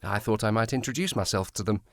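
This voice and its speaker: A reserved, formal police sergeant. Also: male voice, on the low side, creaky, a little hiss or hum. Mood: polite, guarded. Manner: formal, measured, courteous. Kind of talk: period audio drama acting.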